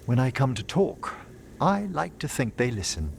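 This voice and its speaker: An actor playing a gentle, bookish gentleman necromancer in a video game, speaking in a formal, measured, eloquent way. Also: mid-range voice, very animated, gravelly, slight background noise. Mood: wistful, solemn. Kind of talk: video game dialogue acting.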